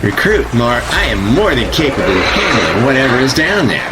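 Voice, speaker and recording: A man's voice: mid-range voice, very animated, normal speed, very noisy, close to an everyday voice. An actor playing a nervous, blustering young male army private who stammers, in an audio drama. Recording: loud music bed under dialogue.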